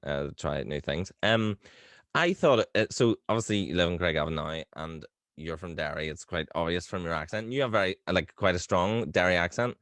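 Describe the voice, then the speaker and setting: Man, low voice, relaxed podcast host, easy laugh, hesitant questions. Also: fairly quick, no echo. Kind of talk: casual interview chat.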